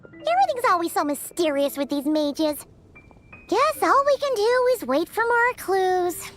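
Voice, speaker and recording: A woman voicing a tiny, excitable, childlike fairy companion in a video game, chirpy and exclamatory. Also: extremely high pitch, unhurried, soft background music, exaggerated voice. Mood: curious, puzzled. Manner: exclamatory, refers to self in third person. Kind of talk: English video game voice acting.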